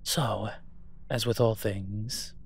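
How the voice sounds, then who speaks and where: Man playing a slow-talking, low-voiced suave demon professor. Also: steady tone, breathy edge, full character voice. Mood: wry, teasing. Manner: measured, wry, teasing. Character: suave male incubus demon professor guiding a heist. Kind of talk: scripted roleplay audio drama.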